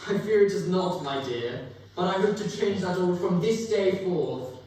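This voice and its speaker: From far off in a very echoey room comes a high-pitched elderly gentleman acted by a young man. He is a reformed Victorian miser, exclamatory and theatrical, joyful and generous. There is faint noise behind him. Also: animated, normal speed. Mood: humble, hopeful. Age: young adult. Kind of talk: dramatic stage reading of Victorian story. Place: museum room, live performance. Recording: roomy echoing hall, distant mic, faint noise.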